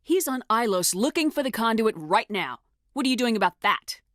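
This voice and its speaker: A woman's voice: a little high, very animated, moderate pace, a bit bright, no room sound, studio quality. An actress playing a tough, resolute woman starship commander in a video game, speaking bluntly and with authority. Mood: urgent, frustrated. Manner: blunt, authoritative, clipped questioning.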